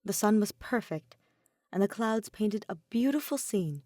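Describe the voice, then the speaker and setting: A woman reads a story as narrator. Her pitch moves in a lively way around a middle register, and the sound is close and dry. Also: unhurried, dry recording. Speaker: podfic narrator. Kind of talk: scripted fan fiction narration.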